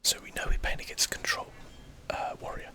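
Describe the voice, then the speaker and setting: A person whispering casual ASMR gameplay commentary, breathy and unvoiced, thinking aloud as they play. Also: fairly quick. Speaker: gaming video host.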